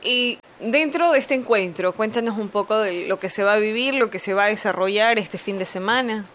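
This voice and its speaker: A woman's voice: medium pitch, moderate pace, fairly bright tone, slight background noise. A woman hosting a radio news program, interviewing a guest and often repeating words as she asks her questions. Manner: hesitant, repeats words when asking. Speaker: radio news show host.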